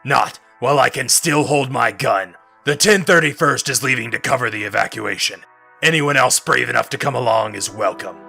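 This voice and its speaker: An actor playing a gruff older heroic commander in an audio drama, forceful and blunt, with a rough, gravelly voice. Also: male voice, medium pitch, moderate pace, heavily acted. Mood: resolute, rousing. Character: gruff, defiant heroic older military commander, part synthetic. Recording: music under the voice.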